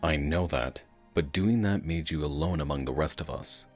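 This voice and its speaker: A man playing an earnest, steady hero in an animated fan dub, speaking in a measured, sincere and encouraging way. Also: rumbling low, soft background music. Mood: earnest, reassuring. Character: deep-voiced male fire hero, earnest and steady teammate.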